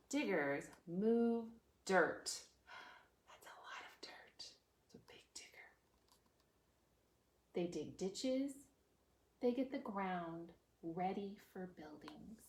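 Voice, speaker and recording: A woman hosting a preschool storytime, reading aloud and chatting expressively, with lots of questions for her young listeners. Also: fairly low pitch. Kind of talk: children's read-aloud with chatty explanations. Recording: echoey room with background noise.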